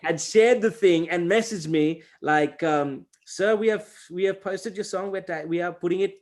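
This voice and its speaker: Animated storyteller, a man, fairly high voice. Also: moderate pace. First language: Gujarati. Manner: animated storytelling, acts out quoted exchanges; frequent fillers and restarts. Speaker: hip-hop artist guest. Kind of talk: casual interview chat.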